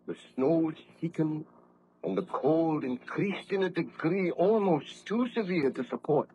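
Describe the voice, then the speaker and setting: An actor playing a tormented, guilt-ridden baron scientist, speaking in a formal, anguished, declamatory way in a 1930s radio horror play. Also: male voice, a little high, lively intonation, a little slow, dark tone, muffled recording. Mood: weary, haunted. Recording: noisy old 1930s radio recording.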